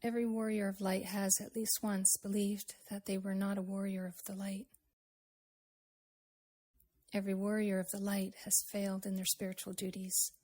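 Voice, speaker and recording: Husky woman guiding a slow meditation. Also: mid-range voice, flat delivery. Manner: gentle, unhurried, with long pauses. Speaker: meditation guide. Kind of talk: slow guided meditation, with a poem recited.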